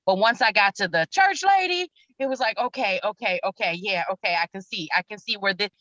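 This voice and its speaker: A woman actor speaking casually in an interview, expressive and emphatic, acting out her own thoughts as she goes. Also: mid-range voice, brisk, no room sound, lo-fi sound. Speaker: stage actress.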